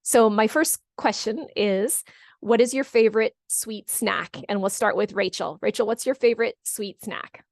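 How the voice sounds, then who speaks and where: A woman's voice: on the high side, moderate pace, no room sound, studio quality. A middle-aged woman from California chatting casually as a webinar host, encouraging people and repeating answers back. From California, United States. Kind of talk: casual moderated chat, reading out audience comments. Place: online video call.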